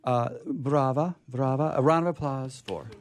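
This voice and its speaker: A man's voice: mid-range voice, measured pace, background noise. A man hosting a radio show off the cuff, effusive, jokey and rambling. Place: radio studio.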